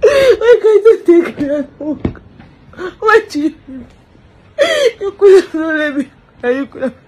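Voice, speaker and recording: A woman's voice: very high voice, animated, slow pace, darkish tone, slight background noise. A distraught woman, crying and wailing through an emotional lament, repeating phrases over and over.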